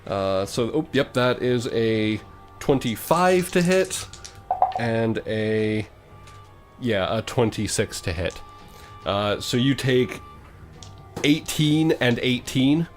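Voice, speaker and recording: Male game master, medium pitch, improvised fantasy combat narration mixed with rules talk, music underneath. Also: animated, takes it slowly, long pauses. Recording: music behind the voice.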